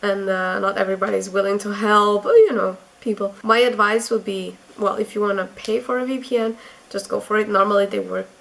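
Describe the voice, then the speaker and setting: A woman's voice: medium pitch, fairly even intonation, a little fast, vocal fry, fairly bright tone, rough recording. A young woman from Portugal with a Portuguese accent, giving chatty advice in a casual vlog, full of fillers. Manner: chatty, many fillers and restarts. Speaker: vlogger.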